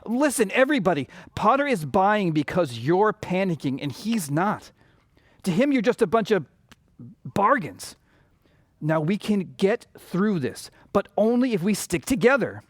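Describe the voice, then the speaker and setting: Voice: mid-range voice, big pitch swings, a little slow, no room sound. An actor playing an earnest, good-hearted young small-town banker in a radio drama, prone to flustered stammering. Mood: pleading.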